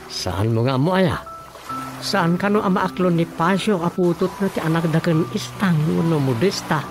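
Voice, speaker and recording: A man's voice: on the high side, lively intonation, normal speed, close to an everyday voice. A man acting a flustered, gossipy village councilman in an Ilocano radio comedy-drama, exclaiming and hesitating conversationally. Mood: gossipy, exasperated. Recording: music bed under the dialogue. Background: background music.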